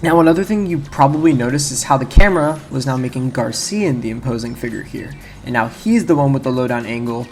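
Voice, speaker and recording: A man's voice: medium pitch, animated, fast talker, few pauses, darkish tone, slight background noise. A man giving casual, rambling video essay commentary on a video game, speaking informally and correcting himself as he goes. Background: game music.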